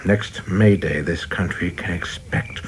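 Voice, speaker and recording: A low-pitched young official played by a man in a radio play. He is formal and earnest, with an ambitious, zealous edge, over clearly noisy ambience. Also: unhurried. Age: young adult. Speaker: actor. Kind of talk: radio drama acting.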